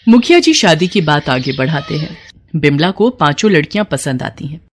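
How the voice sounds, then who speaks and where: A woman's voice: low voice, very animated, normal speed. Woman narrating a moral story.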